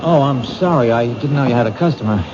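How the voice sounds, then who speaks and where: A man's voice: medium pitch, quick pace, crowd noise, natural voice. A man playing an earnest police detective. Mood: warm, earnest. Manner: friendly, stammers when nervous. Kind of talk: 1970s radio drama acting. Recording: dull old radio broadcast with hiss.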